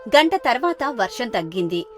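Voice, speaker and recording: A woman's voice: fairly high pitch, sing-song, normal speed, fairly bright tone, faint music behind. A woman storyteller reading a scripted children's moral story.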